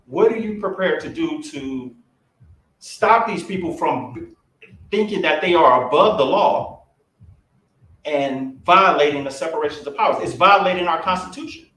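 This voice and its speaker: A man at a roundtable pressing a politician with rapid, pointed questions, recorded from a distance in a played clip. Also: on the high side, animated, sharp tone, echoey room.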